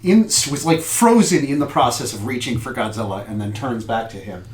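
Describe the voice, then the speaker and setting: A man narrating a tabletop game as game master, improvising as he goes in halting phrases. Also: mid-range voice. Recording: roomy echo with background noise.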